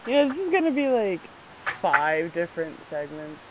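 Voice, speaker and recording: A woman whose first language is Spanish, chatting casually on a vlog, animated and quick to swear and laugh. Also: on the low side, heavy background noise. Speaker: vlog co-host. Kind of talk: casual chat.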